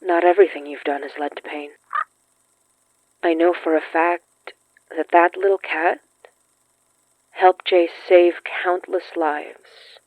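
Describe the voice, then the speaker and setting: A woman playing an earnest, compassionate consoler in an audio drama, gentle and measured, through a radio-like filter. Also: fairly low pitch, steady tone, dry recording, narrow, tinny audio. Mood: earnest, reassuring.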